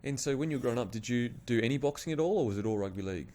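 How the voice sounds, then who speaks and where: A man's voice: mid-range voice, lively intonation, rapid-fire, dark tone. A man with an Australian accent hosting a casual interview, asking loose, friendly questions. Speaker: podcast host.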